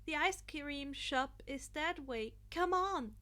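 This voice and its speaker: A woman's voice: high voice, lively intonation, takes it slowly, bright, forceful tone, heavily acted. A woman voicing a friendly, caring and slightly hesitant teenage boy in a story reading.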